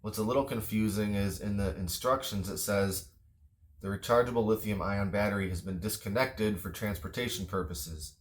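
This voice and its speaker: A man talking through a product in a casual, unscripted review, matter-of-fact and understated. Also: fairly low pitch.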